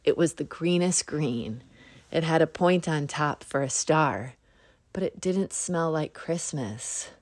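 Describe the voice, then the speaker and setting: Low-voiced woman reading a children's story. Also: animated, slow, dry recording, studio quality. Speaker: storybook narrator. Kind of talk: scripted children's story narration.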